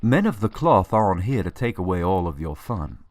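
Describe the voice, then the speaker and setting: An actor playing an earnest, pious and cautious preacher in an audio drama, given to moralising. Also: male voice, deep voice, sing-song, normal speed, a little mellow, no echo.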